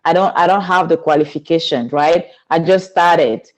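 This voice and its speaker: A woman's voice: sits low, normal speed. Woman from cameroon w/ accent, earnest guest, talks in long flowing runs, a little unusual phrasing. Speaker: guest, spiritual teacher. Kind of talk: podcast interview.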